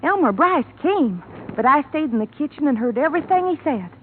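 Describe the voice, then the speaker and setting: A woman's voice: sits high, expressive, fairly quick. An actress playing a desperate, obsessive young hired girl in an American radio drama, speaking with intense, pleading rural speech. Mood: fevered confession. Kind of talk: 1950s radio drama acting. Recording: noisy, tinny old radio recording.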